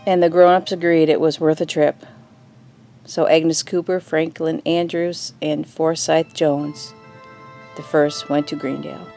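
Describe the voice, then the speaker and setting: A woman playing a grave mother who tells an old family story in a measured, wistful way in an audio drama. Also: low voice, flat delivery, creaky, warm tone, soft background music. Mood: solemn.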